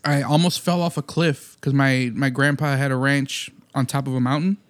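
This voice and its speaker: A man's voice: mid-range voice, steady tone, normal speed. A man in his thirties from the Munster area, telling rambling, profane stories in casual podcast chat. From Munster, Indiana area.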